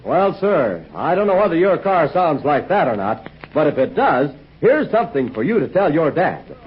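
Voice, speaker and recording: A man announcing a 1940s radio commercial in a chummy, persuasive sales pitch. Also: very animated, moderate pace, noisy background. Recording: dull old 1940s radio recording.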